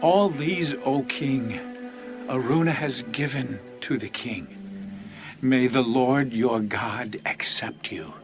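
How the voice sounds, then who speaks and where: Slow, humble ancient landowner. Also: male voice, mid-range voice. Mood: humble, earnest. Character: deferential, generous ancient landowner addressing his king. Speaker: actor in a dramatized audio Bible. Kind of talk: dramatized scripture reading. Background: music.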